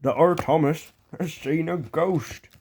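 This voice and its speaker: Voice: medium pitch, slow, darkish tone, husky, voice pushed up. A storybook reader voicing an old, timid male tram engine who speaks in a shaky, stammering way. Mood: chuckling.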